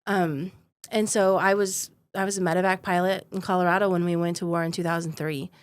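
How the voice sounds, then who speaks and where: Laid-back woman with a low voice. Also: fairly even intonation, fairly quick. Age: 40s. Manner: easygoing, laughs often, many um fillers. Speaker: guest, defense company founder. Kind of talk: conversational interview storytelling.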